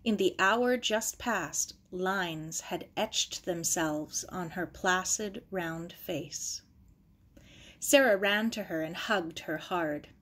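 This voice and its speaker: A woman's voice: lowish voice, slow pace, a bit bright. A woman reading a children's novel aloud as scripted narration. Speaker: audiobook reader.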